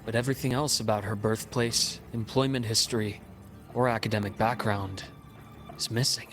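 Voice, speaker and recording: A man voicing a composed, analytical investigator in a game, speaking in a measured, explanatory way. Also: lowish voice, steady tone, a bit bright, faint music behind, natural voice. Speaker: game character. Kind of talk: game cutscene voice acting.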